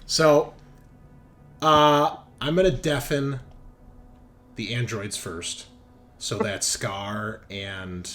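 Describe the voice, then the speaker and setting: A man's voice: mid-range voice, fairly even intonation, drawn-out words, pauses often, fairly bright tone. A man running a tabletop game as game master, chatting casually and often repeating phrases as he thinks aloud. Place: voice call on headset. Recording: clear background noise.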